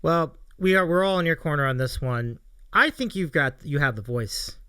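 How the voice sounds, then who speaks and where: A man hosts a casual streaming interview with a lively, swooping delivery and a slightly high voice. His tone is clean and smooth, and he speaks in an appreciative, encouraging manner. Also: normal speed, dry recording, studio quality. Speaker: host of a streaming interview show. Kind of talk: casual livestream interview chat.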